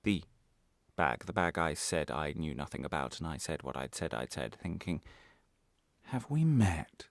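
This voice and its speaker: An actor playing a weary, bitter prisoner held without trial in a radio monologue, speaking in a halting, intense confessional way. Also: male voice, rumbling low, sing-song, creaky, no room sound, full character voice. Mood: haunted, intense.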